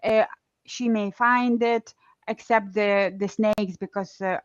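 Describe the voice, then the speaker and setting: A woman's voice: mid-range voice, fairly even intonation, slow, clean tone, dry recording, lo-fi sound. A woman with an Eastern European accent hosting a casual conversation, talking freely with many restarts and fillers. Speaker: podcast host.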